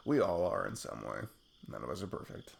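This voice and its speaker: A man playing an affectionate, goofy best friend on a date in an intimate ASMR roleplay, rambling playfully in a husky, gravelly voice. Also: extremely low pitch, wildly swooping pitch, brisk, slight background noise, full character voice. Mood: playful, casual. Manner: rambling, playful, self-deprecating chuckles. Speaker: audio roleplay performer. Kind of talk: intimate ASMR roleplay, improvised casual chat.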